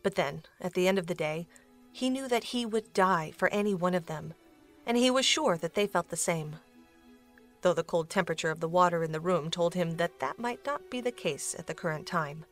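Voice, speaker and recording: A woman's voice: lowish voice, lively intonation, normal speed, faint music behind. A woman reading scripted storybook narration for an audio drama.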